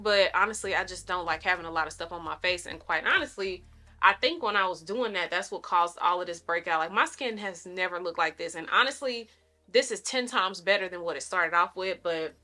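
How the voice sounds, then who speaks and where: A woman's voice: medium pitch, pushed and bright. A woman in her thirties chatting casually through a makeup routine, a little nasal, rambling in friendly asides to her viewers. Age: 30s. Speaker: lifestyle vlogger. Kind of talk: casual makeup tutorial chat.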